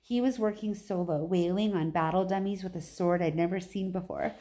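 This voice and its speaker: A woman's voice: on the low side, a little fast, mellow. A woman reading a children's novel aloud at home like a teacher, with informal asides to her listeners.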